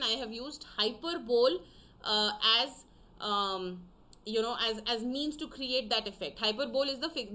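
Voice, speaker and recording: A young woman teaching an online class, explaining slowly with many fillers and repeating herself for her students. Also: high voice, pushed and bright. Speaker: English teacher.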